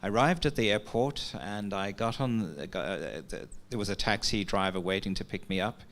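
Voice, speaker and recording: A man from Africa, opening a workshop talk as a relaxed, conversational storyteller who uses filler words. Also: on the low side, moderate pace. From South Africa. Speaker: workshop presenter. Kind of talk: conference talk opening with an anecdote. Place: workshop meeting room.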